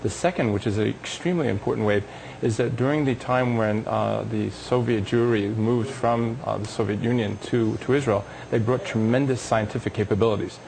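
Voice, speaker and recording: A man's voice: on the low side, steady tone, brisk, creaky, a little mellow, a little hiss or hum, low-quality recording. A man being interviewed as a company executive on a live business TV show, explaining technology with emphatic enthusiasm.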